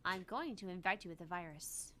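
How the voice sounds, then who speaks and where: A woman's voice: on the low side, animated, a little fast, bright, forceful tone, a little hiss or hum. A woman voicing a feisty, emphatic young woman scientist in a visual novel. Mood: scolding. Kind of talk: visual novel character voice reading.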